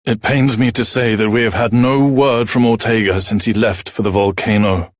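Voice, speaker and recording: A man's voice: on the low side, steady tone, normal speed, dry recording, studio quality, lightly acted. A man voicing a grave, formal soldier delivering a report in a fantasy video game. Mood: grave, regretful. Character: grave male soldier bringing bad news.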